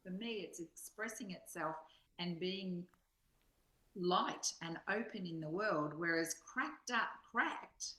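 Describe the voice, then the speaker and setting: A woman reflecting thoughtfully in a spiritual discussion, haltingly searching for images. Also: fairly low pitch, sharp tone. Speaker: study partner. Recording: roomy, echoing sound.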